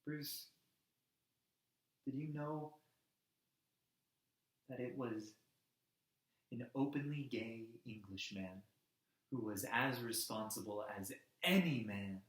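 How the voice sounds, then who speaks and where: A man performs an impassioned male activist in a dramatic monologue. His mid-pitched voice carries grief and defiance in short, emphatic phrases. The room around him is noticeably echoey. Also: animated, fairly bright tone. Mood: quiet, mournful. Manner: emphatic, halting delivery in short weighted phrases.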